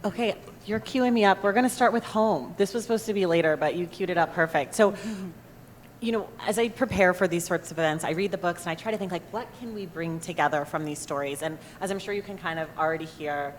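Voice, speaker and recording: A woman moderating a panel discussion, chatty and quick to banter with the authors. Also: on the low side. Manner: lively, conversational, quick to banter. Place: festival stage before an audience. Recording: long hall reverb, faint noise.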